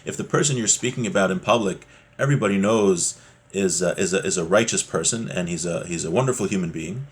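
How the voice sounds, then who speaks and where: A man's voice: sits low, fast talker, breathy edge. A man giving an informal religious lesson as a teacher, explaining a text conversationally and checking in with rhetorical questions.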